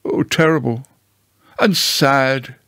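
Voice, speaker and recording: A man voicing a small, humble, slow-witted storybook bear who hesitates and repeats his words in a polite, dreamy way. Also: medium pitch, bright, forceful tone, no room sound, clean studio sound. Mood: mournful. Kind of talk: storybook character voice.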